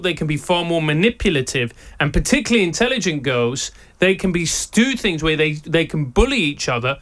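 A man with a South London accent whose first language is Spanish, a former teacher and podcast co-host, talking in an interview with wry, lively storytelling. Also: a little high. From England. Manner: lively anecdotal delivery with wry humour and laughs.

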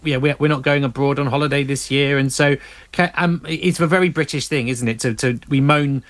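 A man's voice: mid-range voice, fairly even intonation, fairly quick, a bit bright. Hesitant man talking from his garage. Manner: hesitant, lots of fillers and restarts. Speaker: radio guest. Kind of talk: casual chat. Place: hot home garage.

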